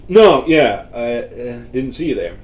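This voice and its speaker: A man's voice: medium pitch, expressive, measured pace, close to an everyday voice. A man voicing a weary, sweary young stoner with a casual, muttering manner in a story reading. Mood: awkward.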